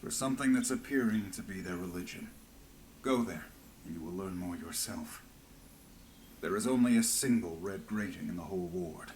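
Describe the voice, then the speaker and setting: An actor playing a weary, disillusioned rebel veteran in a fantasy video game, speaking in a grave, measured way. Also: male voice, low-pitched, a little mellow, a little hiss or hum, close to an everyday voice. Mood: grave, instructing. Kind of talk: dramatic fantasy game voice acting.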